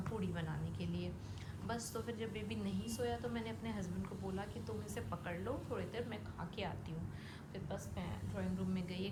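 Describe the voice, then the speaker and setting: A young Indian woman giving a casual, diary-style account of her day as an audio vlogger, informally mixing in English words. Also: medium pitch. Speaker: daily audio vlogger, mother of a baby. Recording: roomy echo, clear background noise.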